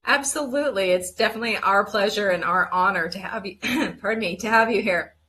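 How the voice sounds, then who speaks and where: A woman's voice: mid-range voice, brisk, bright, forceful tone. A woman hosting an interview show, telling a rambling story and then giving an enthusiastic introduction of her guest. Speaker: host.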